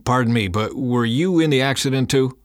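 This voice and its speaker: Man acting a firm paramedic, raised pitch. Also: fairly quick, dry recording, clean studio sound, lightly acted. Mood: apologetic, official. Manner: firm, urgent, courteous. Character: firm, respectful male paramedic at a crash scene.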